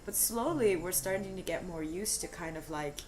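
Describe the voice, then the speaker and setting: A woman co-hosting a live stream, explaining her ideas in a relaxed, thoughtful way with frequent fillers and easy laughs. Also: lowish voice, moderate pace, slight background noise, poor audio. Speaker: co-host of a language stream. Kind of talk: casual discussion on a live stream. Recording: music playing behind the talk.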